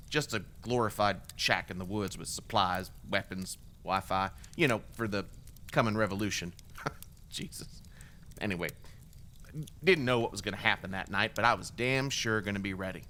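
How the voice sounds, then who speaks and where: A man's voice: on the low side, moderate pace, bright, forceful tone. An actor playing a remorseful, bitter former extremist gunman giving testimony in an audio drama, in a blunt, wry, profane conversational way. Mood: grim, sardonic.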